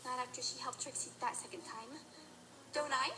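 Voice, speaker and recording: A woman's voice: sits high, steady tone, normal speed, over music, close to an everyday voice. A woman voicing a proud, down-on-her-luck pony magician in an audio drama, grumbling theatrically in the third person. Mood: wistful, bitter.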